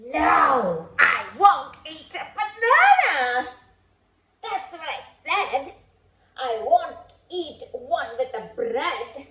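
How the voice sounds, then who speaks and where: A woman voicing a grumpy, stubborn, hungry gorilla in a storybook, with emphatic, drawn-out refusals. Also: high-pitched, big pitch swings, bright, forceful tone, echoey room, a little hiss or hum, full character voice. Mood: emphatic, defiant.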